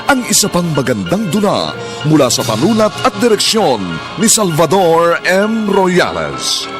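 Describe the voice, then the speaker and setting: A man's voice: on the high side, wildly swooping pitch, measured pace. A man announcing and narrating a radio drama in a grand, declamatory style. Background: music.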